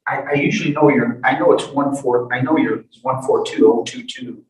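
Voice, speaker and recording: A man working as a defense lawyer in court, asking questions and making requests in a halting, repetitive way. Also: medium pitch, fairly even intonation. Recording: echoey courtroom, distant mic, faint noise.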